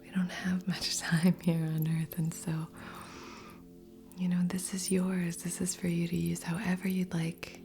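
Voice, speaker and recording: A woman speaking in a soft, breathy whisper as a gentle, soothing host of an ASMR guided meditation. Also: lowish voice, level tone, a little slow. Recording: soft music under voice. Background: background music.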